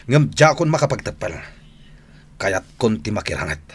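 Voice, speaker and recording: An actor playing an impatient, commanding gang boss in an Ilocano radio drama. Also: male voice, on the low side, sing-song, moderate pace, slightly rough, heavily acted. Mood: restless, worried.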